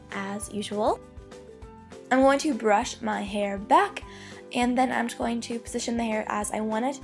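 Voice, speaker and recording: Chatty young woman, slightly hoarse. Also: on the high side. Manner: chatty, upbeat, many "like" fillers. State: losing her voice, slightly hoarse. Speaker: beauty vlogger. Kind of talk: hair tutorial voice-over. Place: bedroom.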